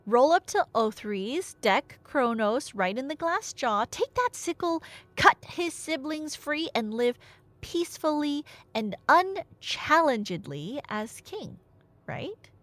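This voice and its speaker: A woman's voice: sits high, very animated, takes it slowly, fairly bright tone, dry recording, full character voice. A woman playing an enthusiastic nerdy girlfriend in an audio roleplay, telling stories in a casual, emphatic, colloquial ramble. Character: enthusiastic nerdy girlfriend explaining Greek myths.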